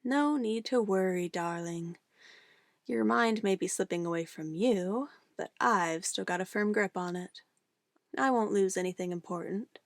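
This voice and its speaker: Soft, creaky, possessive witch, acted by a woman. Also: medium pitch, measured pace, dry recording, studio quality, close to an everyday voice. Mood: smug, calmly menacing. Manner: cooing, coaxing, condescending sweet talk. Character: possessive young-sounding witch, sweetly menacing, doting on her familiar. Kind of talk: ASMR roleplay acting.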